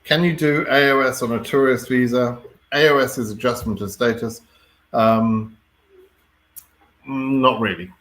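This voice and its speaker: British guy answering viewer questions live, blunt and a bit exasperated, ordinary mid pitched voice. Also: fairly even intonation, unhurried, pauses often, a bit bright, low-quality recording. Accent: British English. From Britain. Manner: blunt, wry, mildly exasperated, sweary, checks in with "right?".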